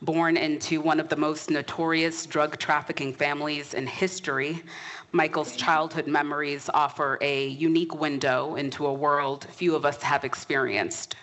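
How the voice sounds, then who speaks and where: A woman hosting a museum program, reading a formal introduction and then asking interview questions in a measured way. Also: deep voice, little pitch movement, fairly bright tone, low-quality recording. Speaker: host and moderator.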